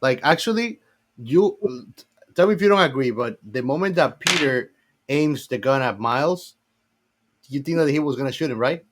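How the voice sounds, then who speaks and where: A man's voice: mid-range voice, lively intonation, normal speed, slight background noise. A man co-hosting a casual film discussion in an informal, slangy way, repeating phrases for emphasis. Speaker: podcast co-host.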